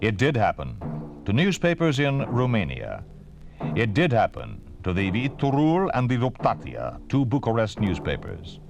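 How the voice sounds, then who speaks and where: A man's voice: medium pitch, wildly swooping pitch, normal speed, vocal fry. An American man reading a formal, solemn script as a 1950s radio announcer. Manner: solemn, declarative. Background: music.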